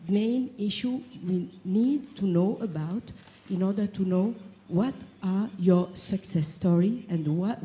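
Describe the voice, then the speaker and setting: A woman's voice: mid-range voice, warm tone. A woman from Tunisia asks the panel an earnest question from the floor, searching for words and repeating herself as she goes. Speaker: audience member asking a question.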